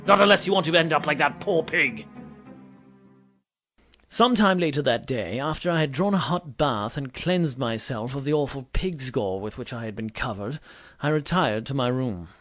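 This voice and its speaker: Male actor as indignant Victorian doctor, pompous, self-important, theatrical, widely swinging pitch, audio drama narration, music underneath. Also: a bit bright, no echo. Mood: outraged, then aggrieved.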